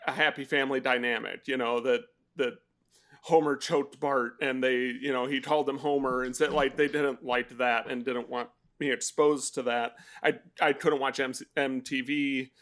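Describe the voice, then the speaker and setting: A man co-hosting a film podcast, talking casually in a rambling way with pauses and restarts. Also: medium pitch, steady tone, fairly bright tone. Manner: rambling, many fillers and restarts. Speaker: podcast co-host. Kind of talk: casual chat.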